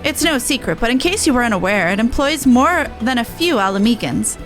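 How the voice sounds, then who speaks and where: A woman's voice: a little high, expressive, a little fast, faint music behind, lightly acted. A woman voicing a young cat-folk resistance soldier in a game, earnest, battle-worn and blunt. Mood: playful, businesslike. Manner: earnest, blunt, rough-and-ready phrasing. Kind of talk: game dialogue voice-over.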